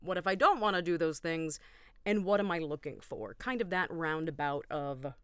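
Low-voiced woman, podcast co-host, casual chat, dry close sound. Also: moderate pace, clean tone, no room sound.

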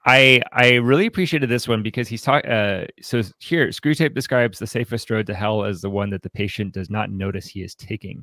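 Male podcast co-host, low register, reflective and halting book discussion, very dry recording. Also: bright, forceful tone, dry recording. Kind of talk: conversational book commentary.

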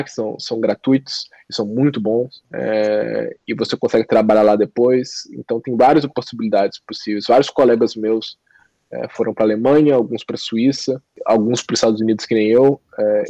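A man's voice: on the low side, dark tone, no room sound. A young man, answering a podcast interview thoughtfully, with many restarts and hesitations. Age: young adult. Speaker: podcast guest. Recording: dull lo-fi dry sound.

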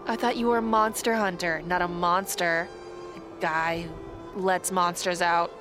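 A woman's voice: on the low side, steady tone, unhurried, music in the background, close to an everyday voice. A woman playing a tough, hot-tempered fighter in a radio drama, sarcastic and blunt. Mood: wry, sarcastic.

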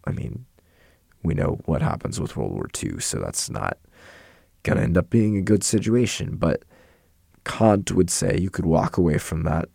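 A young man, hoarse from illness and yelling, casually explaining moral philosophy in a rambling, self-correcting way. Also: low-pitched, no room sound, studio quality.

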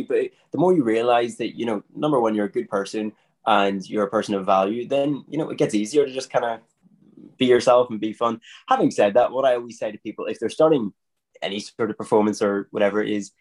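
A man with a somewhat low voice chats casually in a podcast. He talks in long, loose runs of thought, quick to laugh and lively in tone. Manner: animated, chatty, laughs often. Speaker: magician.

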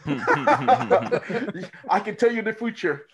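Casting: male panelist on very noisy video call, high lively voice, casual joking. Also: drawn-out words, vocal fry. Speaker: podcast panelist. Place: room at home.

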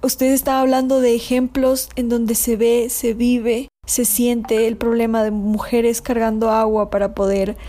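A woman's voice: a little high, level tone, measured pace, hardly any pauses, mellow. A woman speaking in a thoughtful, hesitant way in a casual discussion, often repeating words as she thinks.

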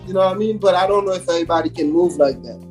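A man's voice: high voice, rapid-fire, darkish tone, faint music behind. A man from Haiti talking casually as a hip hop artist guest on a podcast, in slangy, repetitive, emphatic phrases.

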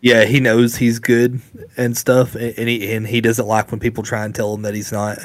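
A man on a podcast panel chatting casually about films in a halting way, often repeating words. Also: medium pitch, completely flat delivery, no echo.

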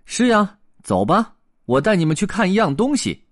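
A man's voice: high voice, very animated, normal speed, no echo, clean studio sound, voice pushed up. A man voicing a cheerful, playful male patchwork elephant in a children's storybook reading, coaxing and teasing his friends. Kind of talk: storybook character voice.

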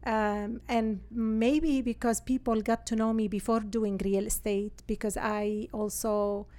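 A middle-aged woman from Syria with a Syrian Arabic accent, Arabic her first language, answering interview questions in an earnest, halting way full of fillers and restarts. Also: on the high side, little pitch movement, a little mellow. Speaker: guest, real estate agent.

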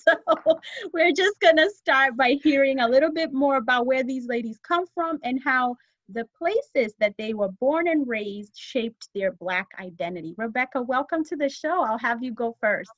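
A woman's voice: high voice, lively intonation, normal speed, dry recording, highs cut off. A woman hosting an interview podcast, talking in an affirming, conversational way. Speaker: host.

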